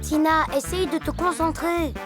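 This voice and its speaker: A woman playing a cautious, earnest, fretful little boy in a French children's cartoon, childlike and expressive. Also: very high voice, normal speed. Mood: patient, instructive. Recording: loud music playing behind.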